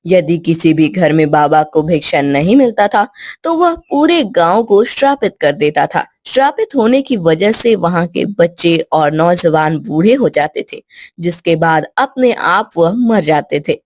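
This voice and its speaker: A woman's voice: lowish voice, lively intonation, unhurried, a little mellow, dry recording. A woman storyteller reading a scripted children's story as narration.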